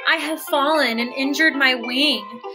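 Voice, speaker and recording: A woman's voice: high voice, measured pace, over music. A woman voicing a small injured female bird, polite and pleading, in a storybook.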